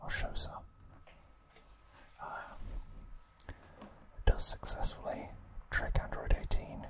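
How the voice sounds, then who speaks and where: A man hosting an ASMR video, narrating in a hushed, unhurried whisper. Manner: slow, hushed, unhurried trivia recap delivery.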